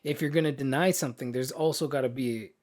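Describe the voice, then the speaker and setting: A man's voice: medium pitch, quick pace, a little mellow. A man co-hosting a podcast, chatting casually about games and films in an informal, slangy way with some mild swearing. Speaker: podcast co-host.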